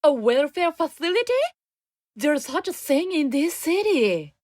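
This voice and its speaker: A woman's voice: high voice, big pitch swings, measured pace, darkish tone, no room sound, clean studio sound. An actress playing an earnest, naive young woman investigator in a radio drama, with a hesitant way of talking. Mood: puzzled, hesitant.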